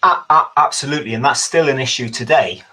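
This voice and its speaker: A man, a history professor, giving a measured, thoughtful explanation over a video call, sometimes correcting himself mid-sentence. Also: medium pitch, pushed and bright. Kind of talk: explanatory talk in a webinar.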